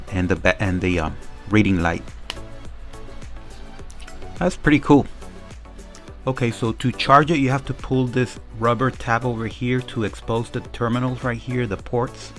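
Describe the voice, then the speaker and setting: A man giving a casual, informal product demo, explaining things step by step as a reviewer. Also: mid-range voice. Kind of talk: casual unboxing and demo commentary.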